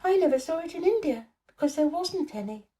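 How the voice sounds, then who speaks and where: A woman voicing a bright, eager young girl in a storybook, with an animated, wondering and earnest manner. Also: extremely high pitch, fairly quick, voice pushed up.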